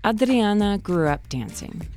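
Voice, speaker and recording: A woman in her forties, hosting a podcast and narrating a personal story in a calm, reflective way. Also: mid-range voice, unhurried, soft background music. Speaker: podcast host.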